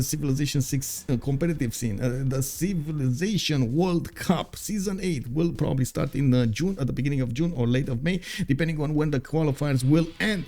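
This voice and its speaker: A man casting a competitive strategy game match live, chatty and quick to laugh, greeting viewers as he talks. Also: fairly high pitch, mellow.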